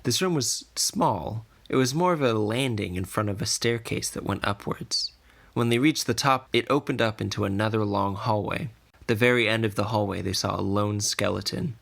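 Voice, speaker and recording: Low, gravelly male storyteller. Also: moderate pace, fairly bright tone. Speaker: podcast narrator. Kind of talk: scripted fantasy story narration.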